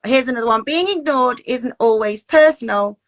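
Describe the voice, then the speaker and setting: A woman's voice: fairly high pitch, moderate pace. A woman giving an informal coaching talk in a chatty, encouraging way, often checking in with tag questions. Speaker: teacher wellbeing coach leading a talk. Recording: distant microphone.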